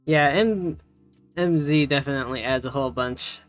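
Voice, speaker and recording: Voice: mid-range voice, normal speed. A tutorial host talking casually and thinking aloud, a little unsure, while working through the steps live. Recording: music playing behind.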